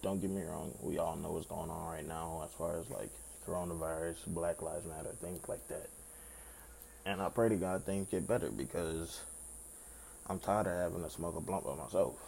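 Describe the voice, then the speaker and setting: A young man with a raspy voice hosting his own podcast in loose, rambling casual chat, swearing freely. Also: low-pitched, fairly even intonation, moderate pace, a little mellow, slight background noise. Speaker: podcast host.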